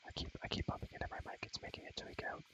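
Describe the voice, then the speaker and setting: A man's voice: very fast, bright, forceful tone, dry recording. A young man whispering close to the microphone in a casual, rambling ASMR gaming chat, repeating words and phrases and using slang. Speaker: ASMR creator showing a Minecraft world.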